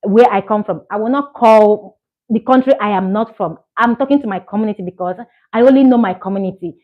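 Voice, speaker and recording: A woman's voice: medium pitch, fairly quick, phone-quality sound. A Nigerian woman in her thirties chatting earnestly to camera as a vlogger, encouraging and repeating herself for emphasis. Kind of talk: casual chat to camera.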